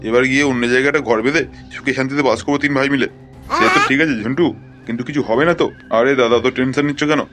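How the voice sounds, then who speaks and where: A man voicing a nervy small-time hired thug in a cartoon, colloquial and excitable, with music behind. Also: mid-range voice, rapid-fire, natural voice. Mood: persuading. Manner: colloquial, excitable, stammers when frightened.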